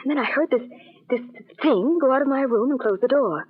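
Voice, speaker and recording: Scared wife in an old radio thriller, high husky voice, breathless and melodramatic, muffled sound. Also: female voice, a little fast. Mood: shaken, haunted. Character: frightened, fretful wife trapped in a thriller. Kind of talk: 1940s radio thriller acting. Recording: muffled old band-limited radio recording.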